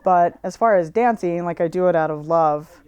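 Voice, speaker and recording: Low-voiced young woman, candid interview. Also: normal speed, clear, resonant tone, lo-fi sound. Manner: candid, hesitant with fillers. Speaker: interviewee, theater sound technician. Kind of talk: casual interview answers.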